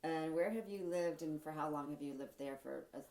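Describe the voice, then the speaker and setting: A woman's voice: low voice, a little fast, darkish tone. A woman interviewer asking brief, plain questions.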